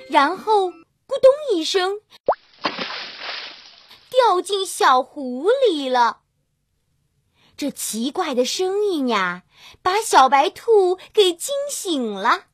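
A high-pitched woman narrates a fairy tale for children with very animated intonation. She takes her time, telling it expressively, with music playing softly behind her. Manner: expressive, drawn-out storytelling with gentle sentence particles. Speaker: children's storyteller.

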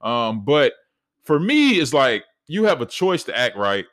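Deep-voiced man musing earnestly. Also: sing-song, a little slow, fairly bright tone. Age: 30s. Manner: earnest, rambling with many fillers. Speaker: podcast host. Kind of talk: solo podcast monologue.

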